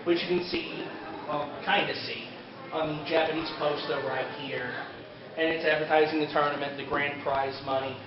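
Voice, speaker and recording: A man giving an informal fan panel lecture at a convention, a bit halting and given to joking asides. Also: a little high. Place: indoor convention panel room. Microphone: distant camera mic. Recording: echoey convention room, distant muffled camera mic, crowd. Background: audience crowd noise.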